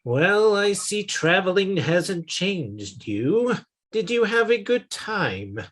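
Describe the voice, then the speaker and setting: A man playing a dry, exasperated middle-aged boss in a 1950s-style radio comedy, with sarcastic, drawn-out lines. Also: high-pitched, animated, a bit bright, full character voice.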